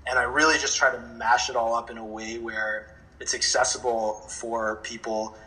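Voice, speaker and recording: A man with a bright, clear-edged voice talks in a reflective interview. His pitch is average for a man. He sounds earnest and open, choosing his words with some hesitation. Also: flat delivery, moderate pace, rough recording. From born in America to Indian parents, grew up in several countries. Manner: earnest, candid, hesitant with frequent throat clearing. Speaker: interview guest, dance music producer.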